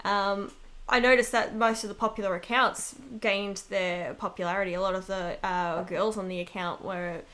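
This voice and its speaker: A woman speaks casually as an interview guest, an amateur voice performer. She talks in a loose, rambling way at a middling pitch, with a self-deprecating streak. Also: steady tone, moderate pace, a bit bright. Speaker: amateur voice actress being interviewed.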